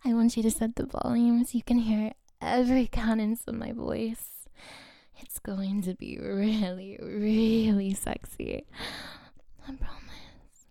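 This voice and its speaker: Slow, teasing, whispery woman with gravelly fry. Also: a little high, no room sound, clean studio sound. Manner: teasing, slow, often dropping to a whisper, soft giggles. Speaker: audio content creator. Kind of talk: intimate scripted audio roleplay.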